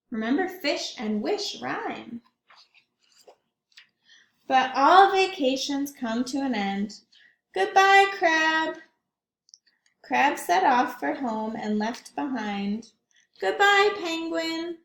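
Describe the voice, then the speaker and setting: A woman's voice: high-pitched, expressive, drawn-out words, vocal fry, pauses often, roomy sound. A woman teacher reading a picture book aloud to children, often stopping to ask them questions. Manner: warm, questioning, child-directed.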